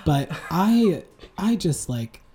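A man in his twenties co-hosting a casual podcast, telling rambling, animated stories full of fillers and asides. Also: sits high, takes it slowly, crowd noise. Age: 20s. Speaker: podcast co-host. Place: home room, seated with a heating pad.

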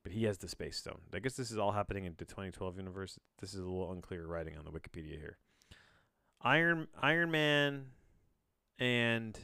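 Male solo podcaster, lowish smooth voice in a dry recording, loose read-aloud delivery with bemused tangents. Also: a bit bright, dry recording. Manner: rambling, self-interrupting, bemused; casual swearing. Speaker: podcast host. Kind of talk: casual solo podcast reading with rambling asides.

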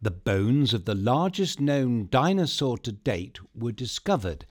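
Male kids' storyteller: playful, theatrical, highly animated, unhurried, with music underneath. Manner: playful, theatrical, addressing young listeners directly. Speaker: storyteller and host of a children's bedtime podcast. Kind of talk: scripted children's story narration.

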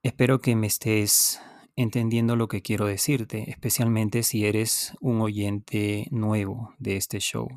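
A man who is a native Spanish speaker hosts an instructional podcast as a teacher, explaining deliberately with pauses and repetition and speaking directly to the listener. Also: on the low side, level tone, a little mellow, no room sound, studio quality. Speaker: podcast host and language teacher. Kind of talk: unscripted instructional podcast monologue.

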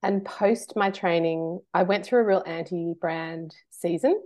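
Lowish woman, emphatic podcast guest. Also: a little slow. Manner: animated, emphatic, laughs between points. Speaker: guest, marketing consultant. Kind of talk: casual interview chat.

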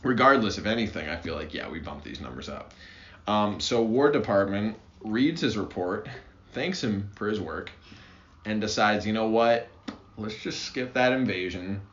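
Rambling, low-voiced man with lively pitch. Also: normal speed, echoey room, lo-fi sound. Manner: rambling, swears casually, many fillers and restarts. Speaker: podcast co-host. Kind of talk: casual history chat.

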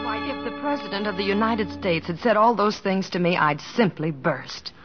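A woman acting as a comedian's devoted wife, earnest and gently nagging, narrating and playing scenes in an old radio drama. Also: medium pitch, expressive, moderate pace, lightly acted. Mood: earnest coaxing. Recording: dull vintage radio recording, faint music.

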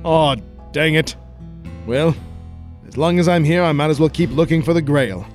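A man's voice: fairly high pitch, normal speed, faint music behind, close to an everyday voice. A man playing a brash, wisecracking American adventurer in a 1940s-style radio play, folksy and sarcastic. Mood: exasperated.

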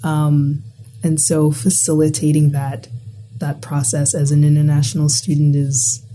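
A woman's voice: deep voice, monotone, unhurried, mellow, slight background noise, poor audio. A young woman from the Bahamas, a college student guest, talking casually and filling her speech with "like". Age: young adult. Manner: chatty, heavy use of "like". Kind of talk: casual conversation.

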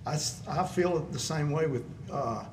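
A man's voice: on the high side, moderate pace. A middle-aged man, a musician, in a casual interview, rambling a little and repeating his phrases. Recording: distant mic, clearly noisy ambience.

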